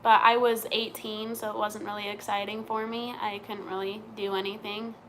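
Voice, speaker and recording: A woman's voice: medium pitch, flat delivery, normal speed, a bit bright, slight background noise, low-quality recording. A young woman from Montana, chatting casually on a live stream as she reads out and answers viewer questions.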